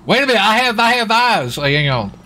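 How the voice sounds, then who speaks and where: A man's voice: high-pitched, big pitch swings, fast, pushed and bright. A man rambling in a joking, folksy way during casual in-character gaming banter. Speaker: role-play gamer playing a US marshal. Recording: game voice chat with clear vehicle noise.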